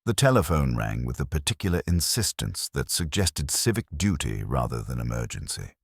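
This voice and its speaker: A man reading a scripted mystery story as narrator, in a measured, wry manner. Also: extremely low pitch, very animated, creaky, slightly rough, dry recording, studio quality.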